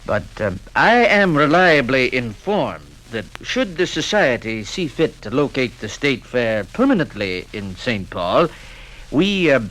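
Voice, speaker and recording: A sly male civic delegate in an old 1950s radio play. He hedges and hesitates evasively, his medium-pitched voice moving widely up and down. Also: very animated, a little slow, a bit bright, poor audio. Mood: cagey, conspiratorial.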